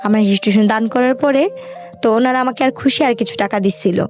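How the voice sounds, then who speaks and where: A young woman with a Bangladeshi accent and a fairly high voice tells a personal story as an interview guest. She talks colloquially, chaining events and quoted exchanges together. Faint music plays behind her. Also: brisk, a little mellow. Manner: colloquial, run-on narration with quoted dialogue.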